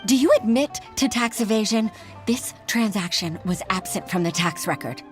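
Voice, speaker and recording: A woman's voice: medium pitch, lively intonation, moderate pace, lightly acted. A woman voicing a courteous, conscientious official in an anime game, speaking politely and formally. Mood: stern. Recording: clear game music behind.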